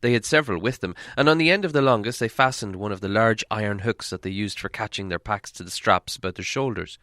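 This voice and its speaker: A man's voice: fairly low pitch, quick pace, fairly bright tone, dry recording, studio quality. A man reading a fantasy adventure story aloud as scripted audiobook narration. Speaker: audiobook reader.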